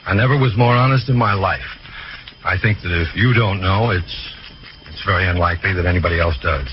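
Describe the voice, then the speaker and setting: An actor playing an earnest, polite, quick-witted medical student in an old-time radio drama, speaking in a measured way. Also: male voice, low-pitched, background noise, natural voice. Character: earnest, respectful but quick-witted male medical student, recalling his mentor. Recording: muffled vintage radio recording.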